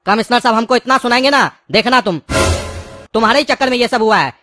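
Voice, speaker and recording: A performer voicing a gruff male cartoon police inspector who berates in an exasperated manner. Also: mid-range voice, quick pace, bright, forceful tone, a little hiss or hum, close to an everyday voice. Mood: scolding. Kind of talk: comic cartoon dialogue.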